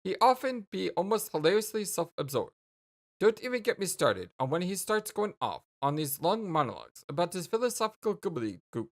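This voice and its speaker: A woman's voice: lowish voice, sing-song, fairly quick. A woman voicing an oblivious, apologetic young man who rambles in wordy, old-fashioned folksy slang in a dramatic dialogue. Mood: chatty, oblivious.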